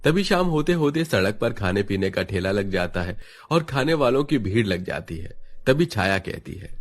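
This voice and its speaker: A man's voice: lowish voice, big pitch swings, normal speed. A man narrating a scripted story in a dramatic storytelling style.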